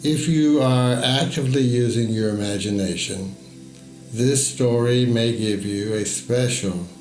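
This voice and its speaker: A man with a lowish voice leads a guided meditation, telling it as a story. He speaks very slowly and deliberately, leaving space between phrases. The voice is steeped in echo, with music underneath. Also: a little mellow. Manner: slow, measured, deliberate pauses between phrases. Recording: echoey voice over music.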